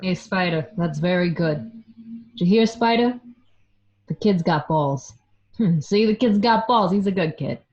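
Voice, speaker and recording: A woman's voice: lowish voice, measured pace, darkish tone, highs cut off, lightly acted. A woman playing an amused, needling mobster in a radio drama, goading and profane. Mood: amused approval. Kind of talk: amateur radio drama acting.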